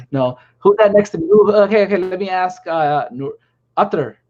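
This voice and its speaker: A man's voice: high voice, unhurried, mellow. A man hosting a casual chat on a video call, rambling and chatty. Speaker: stream host. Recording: lo-fi call audio.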